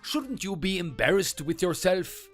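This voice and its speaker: A man voicing a scornful, boastful French mechanic who taunts and belittles in a lower, quieter voice, with faint music behind. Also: sing-song, unhurried, full character voice. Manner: taunting, contemptuous.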